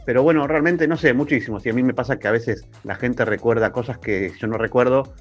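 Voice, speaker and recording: A man's voice: medium pitch, fairly quick, faint music behind. A man with an Argentine accent reminiscing casually in an interview about his radio work. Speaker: radio scriptwriter.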